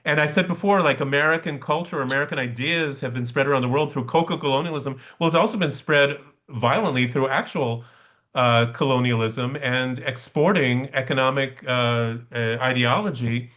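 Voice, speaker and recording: A man with a mid-pitched voice leads an online seminar in a conversational way. He explains step by step and checks that listeners follow. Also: moderate pace, fairly bright tone. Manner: explanatory, often checks with "right?". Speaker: lecturer leading a study session. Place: online class over video call. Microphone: video call.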